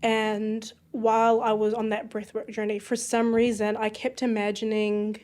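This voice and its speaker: A woman's voice: a little high, monotone, normal speed, a little mellow. A woman from Iraq, a podcast guest, talking reflectively and searching for words in a casual interview.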